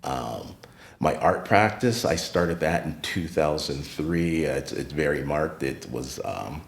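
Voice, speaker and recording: A middle-aged man with an American accent speaks in an extremely deep register as a podcast guest. He reflects unhurriedly on his past and gets livelier when he retells run-ins with people. The sound is roomy and echoey. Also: animated, slow, gravelly. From United States. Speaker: podcast guest, retired teacher. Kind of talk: casual interview storytelling.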